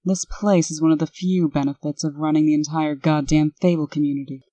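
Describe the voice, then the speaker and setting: A woman's voice: low voice, level tone, a little fast, mellow, dry recording, dull audio. A woman playing a stern, bossy, no-nonsense administrator in a comic dub, curt and commanding. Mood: stern, brisk. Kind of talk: amateur comic dub acting.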